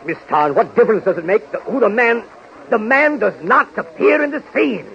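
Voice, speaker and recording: Harried film director, swooping pitch. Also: male voice, lively intonation, moderate pace, gravelly. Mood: strained patience. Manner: emphatic, spelled-out coaxing instructions. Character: harried, exasperated film director straining for patience. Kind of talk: 1930s radio comedy acting. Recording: noisy, muffled 1930s radio recording.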